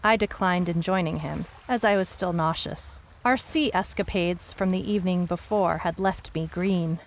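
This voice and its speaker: A woman's voice: fairly low pitch, moderate pace, a little hiss or hum, close to an everyday voice. A woman playing a wry detective who recounts a strange case in a dry, storytelling way, as scripted audio drama narration. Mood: wry, matter-of-fact.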